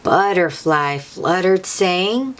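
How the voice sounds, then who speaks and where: Very animated, slow-paced woman reading to children. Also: fairly low pitch. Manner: animated, encouraging, sing-song talk addressed to small children. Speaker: children's read-aloud host. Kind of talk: picture book read-aloud for young children.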